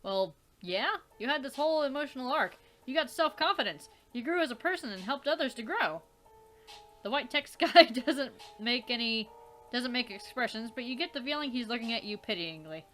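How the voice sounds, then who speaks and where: A woman's voice: fairly high pitch, animated, moderate pace, fairly bright tone, faint music behind. A woman hosting a let's play, reading the game's narration aloud and commenting with exasperated swearing and laughter.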